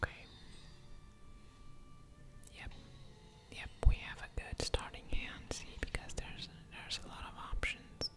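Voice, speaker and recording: A woman whispering ASMR game commentary in a breathy voice with soft mouth sounds, musing aloud over each move. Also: takes it slowly, long pauses. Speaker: game streamer, ASMR creator.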